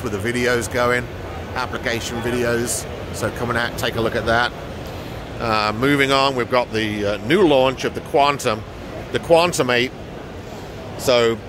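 A middle-aged man giving an informal product tour to camera as a company representative, recorded on a handheld phone in a large trade show hall. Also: medium pitch. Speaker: business development manager presenting products. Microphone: handheld phone at arm's length. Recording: noisy exhibition hall ambience.

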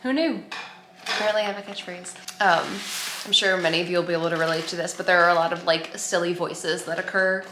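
A woman's voice: on the low side, steady tone, fast talker. A woman in her thirties chatting casually to a camera at arm's length, with a playful, gushing way of talking. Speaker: vlogger. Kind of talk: casual vlog chat. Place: home kitchen and dining room, indoors. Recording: roomy echo, light background noise.

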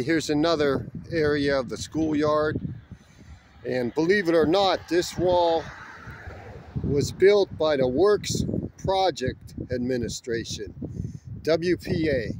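A middle-aged man talks slowly and thoughtfully as he walks around outdoors. His voice sits a little high for a man. Faint background noise sits under the camera-mic sound. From Youngstown, Ohio. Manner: unhurried, reflective, nostalgic. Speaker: urban explorer vlogger. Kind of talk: walking tour narration. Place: outdoors around an abandoned school.

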